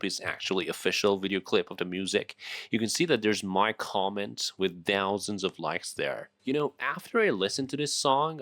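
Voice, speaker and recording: Iranian male host, Persian native, low voice, dry studio re-record. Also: fairly bright tone, dry recording. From Iran. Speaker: English teacher and host. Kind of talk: casual interview.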